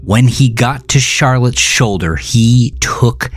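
A man's voice: mid-range voice, takes it slowly, husky. A man narrating a scripted horror story as a dramatic reading. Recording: music bed behind narration.

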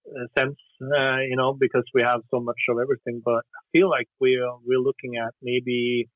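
A Norwegian musician talking casually in an interview, hesitant, with lots of fillers and restarts. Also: male voice, medium pitch, little pitch movement, moderate pace, no room sound. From Norway. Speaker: musician guest. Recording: dry tinny phone line.

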